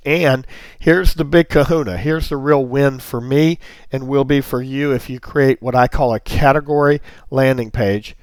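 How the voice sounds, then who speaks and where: A man with a slight laryngitis rasp explains things step by step in a friendly teaching tone. His voice sits at an ordinary middle pitch. It is recorded close and dry, with no room sound. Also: no room sound. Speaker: online marketing teacher. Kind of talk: screen-recorded tutorial.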